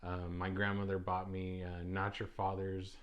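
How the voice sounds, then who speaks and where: A man's voice: sits low, monotone, moderate pace, lo-fi sound. A young adult man hosting a casual solo podcast, talking loosely with many ums, uhs and restarts. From United States. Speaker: podcast host.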